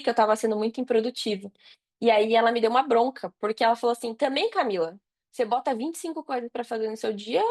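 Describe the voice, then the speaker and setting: A woman with a fairly high voice and a Brazilian accent speaks as a podcast guest. She gives casual advice, informal and full of personal anecdote. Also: darkish tone. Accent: Brazilian Portuguese.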